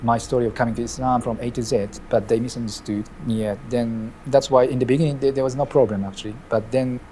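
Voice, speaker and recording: A middle-aged man from Japan with a Japanese accent, an imam telling his life story in an interview, measured and often restarting his phrases. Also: mid-range voice, steady tone, dark tone, slight background noise, low-quality recording.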